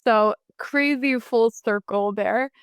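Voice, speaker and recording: A woman's voice: fairly high pitch, slow, smooth, no echo, studio quality. A woman in her twenties from the United States, answering interview questions thoughtfully, with many fillers and restarts. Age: 20s. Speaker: guest.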